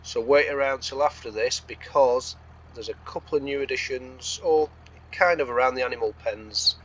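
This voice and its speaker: A man with a croaky, slightly hoarse voice gives casual, rambling gameplay commentary, thinking aloud as he hosts. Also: mid-range voice, moderate pace, a bit bright, lo-fi sound. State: slightly hoarse from being unwell. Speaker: host of a gaming series.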